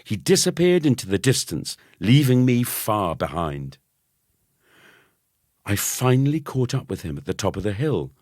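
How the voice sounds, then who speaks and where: A man narrating a children's story expressively, with dramatic and deliberate phrasing. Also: on the low side, very animated, a little mellow, dry recording, studio quality. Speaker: audiobook narrator.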